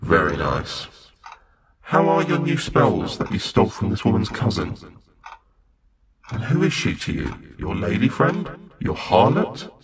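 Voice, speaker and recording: A man's voice: fairly low pitch, sing-song, a little slow, a crowd in the background. A man playing a sadistic otherworldly villain who feeds on mortals in an audio drama, mock-polite and taunting as he draws out his words. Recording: echoing voice under loud music.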